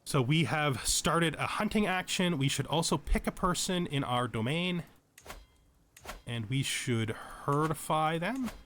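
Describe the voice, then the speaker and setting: A man's voice: fairly high pitch, lively intonation, unhurried, a bit bright, a little hiss or hum. A man giving unscripted tutorial commentary over a video game, explaining things step by step and often repeating or rephrasing as he goes. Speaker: tutorial let's play host.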